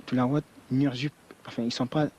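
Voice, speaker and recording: A man's voice: mid-range voice, little pitch movement, a little fast, a little mellow, low-quality recording. A young man from French Guiana giving a spontaneous, earnest and emphatic personal account in an interview. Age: young adult. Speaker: student interviewee.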